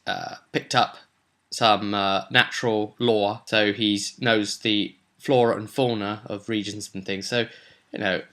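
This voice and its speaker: A man's voice: fairly low pitch, sharp tone. A man talking casually as a game master recapping a role-play session, conversational, often putting questions to the listener. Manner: conversational, rhetorical questions and tag questions.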